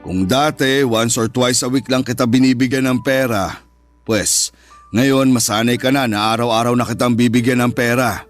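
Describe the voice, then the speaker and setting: A man acts as a controlling, moneyed boyfriend in a radio drama. He speaks at a middling pitch in a stern, possessive way. Soft music sits behind his voice. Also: steady tone, moderate pace, natural voice. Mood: generous, firm. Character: jealous, controlling, moneyed boyfriend and expectant father. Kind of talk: Tagalog radio drama acting.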